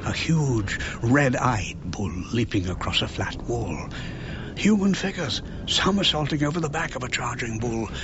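Awed narrator, noisy recording. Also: male voice, medium pitch. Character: awed archaeologist narrator recalling his discoveries. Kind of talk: radio drama narration. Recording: dull, noisy radio recording.

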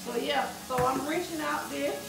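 A woman in her thirties talks to her viewers as y'all while she cooks, unhurried and folksy. Her voice sits high with a strong gravelly fry. The kitchen sounds echoey, and music plays behind her. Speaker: cooking vlogger. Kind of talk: casual cooking vlog talk to viewers. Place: home kitchen, indoors. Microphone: camera on the counter, moved around.

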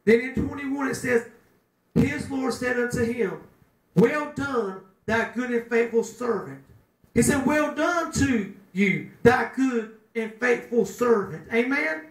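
High-voiced preacher man, echoing from afar. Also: slow. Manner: preaching with rising shouts and call-and-response. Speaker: pastor. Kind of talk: sermon. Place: small chapel room with a pulpit. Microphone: handheld mic, filmed by a far camera. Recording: echoey room from far off.

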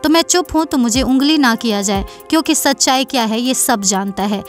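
A woman's voice: fairly high pitch, a little fast. A woman reading scripted news narration as a presenter. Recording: music playing behind. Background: background music.

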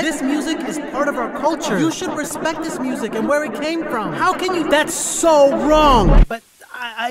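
A woman playing a troubled, earnest seventh-grade boy in an audio drama, speaking hesitantly and broodingly. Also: high voice. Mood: upset, regretful.

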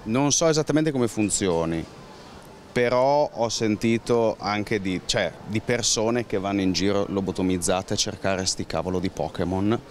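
Deep-voiced man, blunt on the street. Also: normal speed, a little hiss or hum. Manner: blunt and dismissive. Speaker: passerby interviewed. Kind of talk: casual opinion. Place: outdoors on a town street. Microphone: handheld microphone.

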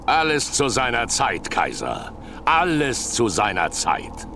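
An actor voicing a menacing, patient villain in a fantasy game, gravelly, taunting and drawn-out. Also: male voice, medium pitch, huge pitch swings, noisy background, exaggerated voice. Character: menacing, patient male villain mocking an emperor.

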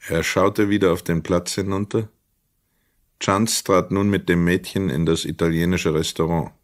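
Deep-voiced male narrator, dry studio sound. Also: steady tone, normal speed, dry recording. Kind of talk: scripted literary narration in a crime radio play.

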